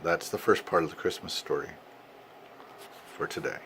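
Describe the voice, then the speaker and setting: An older man, a grandfather, reads a storybook aloud to his family expressively, with dramatic pauses and emphasis. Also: deep voice, vocal fry, fairly bright tone. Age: 60s or older. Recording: noisy amateur home recording.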